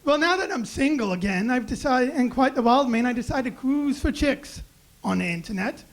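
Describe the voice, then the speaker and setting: A young man with a high, raspy voice doing a self-deprecating deadpan stand-up set on a club stage mic. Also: moderate pace. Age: young adult. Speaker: stand-up comedian. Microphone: handheld stage mic.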